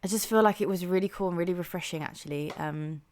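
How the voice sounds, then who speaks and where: A young woman with a soft, slightly low voice reacts to music in a casual, chatty way. She talks enthusiastically and fills her thoughts with "like" and "um". She sounds like a relaxed host talking straight to her viewers. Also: fast. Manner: chatty and enthusiastic, heavy use of "like" and "um".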